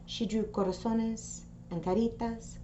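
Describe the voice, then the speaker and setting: A woman presenting for a school, speaking in clear phrases to families and children as she gives announcements and reads aloud. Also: a little high, fairly even intonation, unhurried, mellow. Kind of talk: video announcements and picture-book read-aloud. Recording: dull audio with faint noise.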